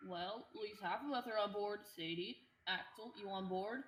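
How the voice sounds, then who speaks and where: A woman's voice: mid-range voice, moderate pace, a bit bright, lightly acted. A teenage woman acting a confident, persuasive young male cave guide in a radio theatre play. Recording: echoey room, faint noise.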